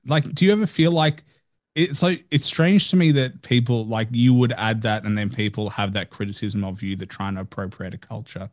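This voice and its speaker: A man's voice: fairly low pitch, normal speed. A man hosting a podcast interview, chatting in an earnest way with frequent "like" fillers. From Australia. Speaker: podcast host. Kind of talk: casual interview chat.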